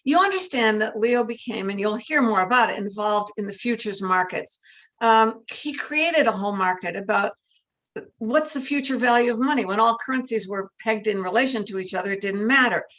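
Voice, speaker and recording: A woman's voice: on the high side, expressive, fairly quick, fairly bright tone. A woman interviewing a guest, enthusiastic and inviting him to tell his stories. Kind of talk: conversational interview.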